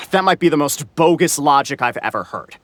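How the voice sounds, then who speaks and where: A man's voice: fairly high pitch, animated, moderate pace, a bit bright, dry recording. A man voicing a smug, theatrical, sarcastic clansman in a video game, with a grandiose, mocking delivery. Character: smug, theatrical, sarcastic male clan member. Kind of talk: video game character acting.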